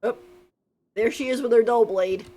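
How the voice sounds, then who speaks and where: A woman's voice: on the high side, moderate pace, dark tone. A woman giving casual, chatty live commentary as a game streamer.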